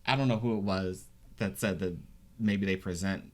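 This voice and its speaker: A man's voice: deep voice, normal speed. A man co-hosting a casual podcast chat, talking reflectively with many restarts. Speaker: podcast co-host.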